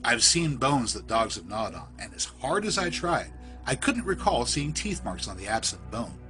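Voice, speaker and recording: A man reading a scripted first-person horror story in a literary, measured, suspenseful storytelling manner. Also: medium pitch, very animated, bright, forceful tone, faint music behind. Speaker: storyteller, podcast narrator.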